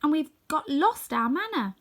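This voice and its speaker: A woman's voice: high-pitched, big pitch swings, moderate pace, fairly bright tone, pitched above the performer's own voice, full character voice. A woman voicing an earnest, polite little girl who is hopeful and questioning, in a storybook reading.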